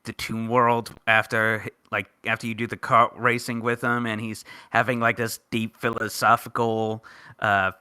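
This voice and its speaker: Male panelist, lower pitch, very crisp forceful tone, halting casual talk. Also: little pitch movement, no echo. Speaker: podcast panelist. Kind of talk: casual group chat about a game fandub.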